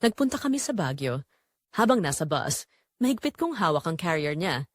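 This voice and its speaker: Young Filipino female narrator for dramatic romance story; emotive, reflective, lively pitch movement, close dry recording. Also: normal speed, no echo. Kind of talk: dramatic scripted first-person romance narration.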